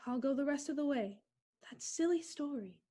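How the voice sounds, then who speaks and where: A woman's voice: high-pitched, expressive, normal speed, dark tone. A woman playing a frightened young woman alone at night, talking anxiously to herself in a theatrical old radio drama style. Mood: nervous self-soothing. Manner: anxious self-talk, theatrical. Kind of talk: 1940s radio play acting. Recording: hissy, noisy recording.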